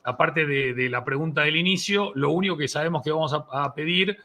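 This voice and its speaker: A man's voice: on the high side, brisk, bright, forceful tone. A man hosting a casual, unscripted interview, effusive and enthusiastic toward his guest. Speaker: host of a live interview show.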